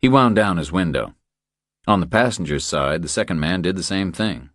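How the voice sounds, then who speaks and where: A man's voice: low voice, animated, normal speed, a bit bright, no echo, studio quality. A man reading a thriller audiobook as scripted narration.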